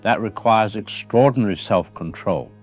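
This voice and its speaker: A man playing a professor interviewed as an expert in a radio drama, speaking in a measured, analytical way. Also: fairly low pitch, natural voice. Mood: calm, grave. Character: grave male professor explaining a killer's mind. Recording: dull lo-fi radio sound with faint music.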